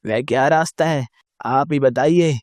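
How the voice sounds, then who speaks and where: A kindly, careful king from a cartoon fable, voiced by a man. The voice is mellow and soft-edged, recorded very dry. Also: mid-range voice, measured pace, no echo, lightly acted. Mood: concerned. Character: troubled, kindly, prudent storybook king. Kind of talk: Hindi cartoon moral story acting.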